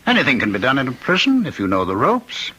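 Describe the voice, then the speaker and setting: An actor playing a gloating, menacing condemned killer in a radio mystery, speaking deliberately and mockingly. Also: male voice, medium pitch, wildly swooping pitch, sharp tone, husky, dull audio, full character voice. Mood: taunting. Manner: deliberate, mocking, savoring his words. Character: condemned male killer, gloating, menacing, taunting, claims to be the Ripper.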